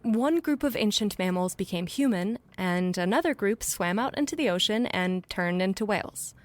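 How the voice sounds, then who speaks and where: A woman's voice: mid-range voice, lively intonation, moderate pace, no echo, natural voice. A woman playing a forthright, logical scientist in an audio drama, casual and sardonic as she explains things. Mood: patient explaining. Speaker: actor.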